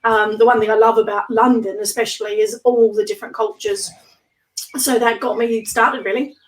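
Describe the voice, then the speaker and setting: Woman guest, higher voice, slightly hoarse, chatty with ums, sits back from the mic. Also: little pitch movement, moderate pace, recorded from a distance, rough recording. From Australia. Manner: chatty, laughs often, many ums. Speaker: interview guest, entrepreneur. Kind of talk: casual video-call interview.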